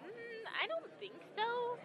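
A woman's voice: very high-pitched, animated, unhurried, dull audio, crowd noise. A woman playing an anxious, scatterbrained young woman in an audio drama, hesitant and stammering. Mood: timid, hesitant. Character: anxious, flustered, scatterbrained young female policyholder.

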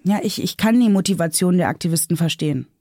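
A woman's voice: fairly low pitch, fast talker, warm tone, no echo, clean studio sound, faint music behind, lightly acted. An actress playing a self-critical policewoman in a radio interview, explaining hesitantly and repeating words. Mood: earnest. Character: thoughtful, self-critical female police officer being interviewed. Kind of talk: scripted radio drama interview.

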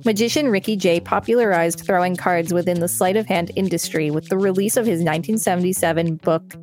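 A woman's voice: fairly low pitch, a little fast, darkish tone, faint music behind. A woman co-hosting a podcast, reading an encyclopedia article aloud and chatting casually. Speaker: podcast co-host.

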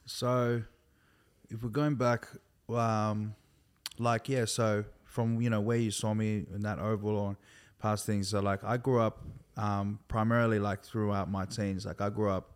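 An Australian man in his thirties from Sydney, a hip hop artist talking as a guest in a relaxed, rambling way, peppered with "like" and "bro". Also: lowish voice, measured pace, clear, resonant tone. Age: 30s. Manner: laid-back, loose and rambling, full of "like" and "bro". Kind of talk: casual conversation.

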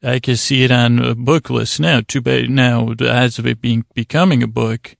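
A man with a creaky voice hosting a bedtime podcast, talking in a soothing, meandering monologue with halting pauses and digressions. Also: medium pitch, fairly even intonation, no echo, clean studio sound. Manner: soothing, lulling delivery. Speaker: podcast host.

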